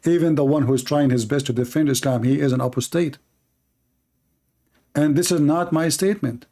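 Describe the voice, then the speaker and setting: Arabic-speaking guy ranting on his channel, mellow, kinda high voice, repeats himself a lot. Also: normal speed. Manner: emphatic, repetitive, non-native phrasing. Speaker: religious debate host. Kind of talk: polemical commentary.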